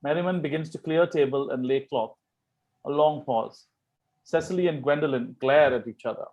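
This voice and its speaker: Medium-pitched reader of stage directions. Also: moderate pace, darkish tone, dull audio. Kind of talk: scripted narration of stage directions.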